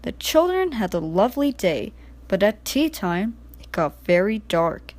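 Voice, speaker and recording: A woman narrating a scripted children's storybook in an expressive reading style. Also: medium pitch, unhurried.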